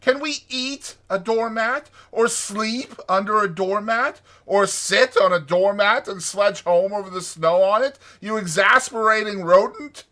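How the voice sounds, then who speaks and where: A man's voice: sits high, a little slow, few pauses, sharp tone, voice pushed up. A man voicing a naive, fussy male mole from a storybook, wordy and exclamatory in his delivery. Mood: sarcastic, pettish. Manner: wordy, indignant or gushing, exclamatory. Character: naive, fussy male mole, pettish yet easily amazed.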